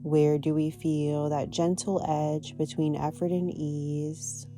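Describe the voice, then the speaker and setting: A woman teaching yoga, guiding a practice in a gentle, soothing, unhurried manner. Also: low-pitched, flat delivery, over music. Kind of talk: guided yoga and meditation instruction.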